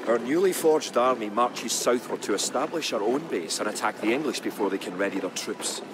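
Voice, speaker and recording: An actor playing a grave, resolute medieval clansman, narrating a war campaign in a solemn, measured, dramatic style. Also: mid-range voice, expressive, noisy background, natural voice. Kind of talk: dramatic scripted storytelling narration. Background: music behind the voice.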